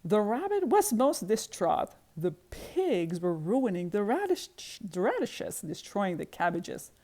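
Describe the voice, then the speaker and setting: Slow, animated woman reading a storybook. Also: medium pitch. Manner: emphatic, rhythmic on repeated words. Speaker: children's book reader. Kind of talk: expressive storybook narration.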